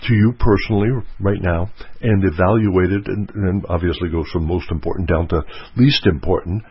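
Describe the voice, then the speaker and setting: A man giving an earnest motivational teaching talk, repeating his key points slowly. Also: low voice, breathy edge, no room sound, highs cut off. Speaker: self-help speaker.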